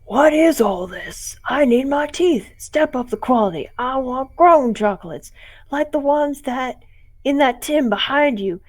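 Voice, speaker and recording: A woman's voice: sits high, animated, measured pace, no echo, pitched above the performer's own voice. A woman voicing a pushy, greedy adult trick-or-treater who bosses people around and demands treats. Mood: demanding. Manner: demanding, bossy.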